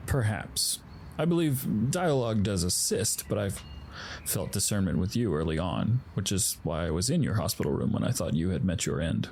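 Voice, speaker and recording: A man's voice: deep voice, lively intonation, normal speed, vocal fry, a little mellow, a little hiss or hum. A man playing a solemn, guarded warrior spirit of emotion in an audio drama, speaking formally and stiffly. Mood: grave, concerned. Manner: formal, stiff, earnest speech.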